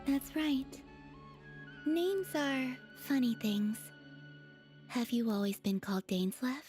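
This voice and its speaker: An actress voicing an enigmatic, gentle young maiden in a video game, soft and breathy, with a calm, reflective delivery. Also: female voice, sits high, slow pace, soft background music. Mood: wistful.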